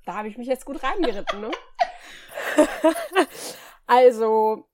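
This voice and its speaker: A woman's voice: a little high, sing-song, takes it slowly, warm tone. A woman co-hosting a book podcast in casual chat, a bit nasal from a cold, cheerful and chatty. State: has a cold, slightly nasal. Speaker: podcast co-host.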